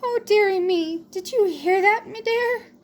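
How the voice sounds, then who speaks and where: A woman's voice: extremely high pitch, unhurried, pitched above the performer's own voice. A woman voicing a distraught, fretful motherly church mouse in a storybook reading. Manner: fretful, wailing, old-fashioned motherly phrasing.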